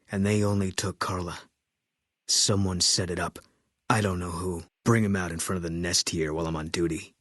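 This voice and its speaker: Low, husky, grim sniper. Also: male voice, dry recording, clean studio sound. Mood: grim, resolute. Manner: terse, flat, clipped, brooding delivery. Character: grim, bitter male ex-army sniper, guarded and grieving widower. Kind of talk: video game voice acting.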